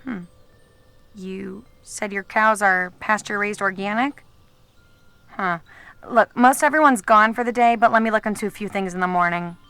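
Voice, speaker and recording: An actress playing a cool, measured government official in a naturalistic audio drama. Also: female voice, medium pitch, a bit bright, smooth, no echo, soft background music, natural voice. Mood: pensive.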